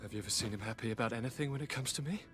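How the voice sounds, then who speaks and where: An actor playing a cocky, theatrical young noble governor in a video game, with dramatic, grandiloquent delivery. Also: male voice, medium pitch, rapid-fire, over loud music, natural voice. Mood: bitter. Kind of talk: video game cutscene acting.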